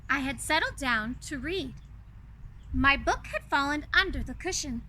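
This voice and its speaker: High, bright woman telling a children's story. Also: expressive, measured pace, slight background noise, low-quality recording. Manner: gushing, coaxing, addresses listeners directly. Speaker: children's storyteller, reader in a princess character. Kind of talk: animated storybook reading with asides to young listeners.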